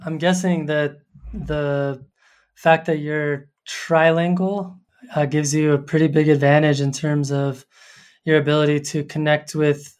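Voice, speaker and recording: A host interviewing a guest, asking curious, measured questions in an upbeat way. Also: medium pitch, a little mellow. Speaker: podcast host. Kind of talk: interview hosting.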